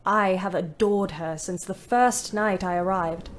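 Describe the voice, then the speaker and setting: Actress as weary, wry wartime woman in her twenties, mid-pitched, measured literary diary narration for audio drama. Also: slight background noise, natural voice. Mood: fond, wry. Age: 20s. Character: weary, wry young British woman ambulance driver telling her diary.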